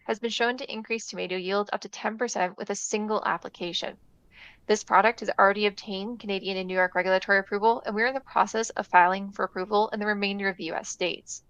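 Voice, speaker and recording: A woman presenting her startup as its CEO in a polished, rehearsed pitch. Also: smooth, no echo. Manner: steady, polished, rehearsed delivery. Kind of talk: scripted pitch read-out.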